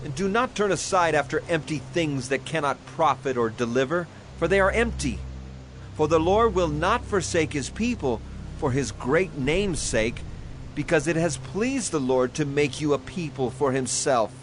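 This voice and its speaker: A man's voice: on the high side, animated, moderate pace, slight background noise, lightly acted. An actor playing an aging, righteous prophet addressing his people in solemn, declamatory dramatized scripture. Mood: reassuring, firm. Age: older. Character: aging male prophet, solemn, righteous, stern yet caring. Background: music.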